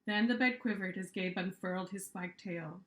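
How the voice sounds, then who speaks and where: A woman reading a children's story aloud as an author, with expressive narration. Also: mid-range voice, normal speed. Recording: echoey room.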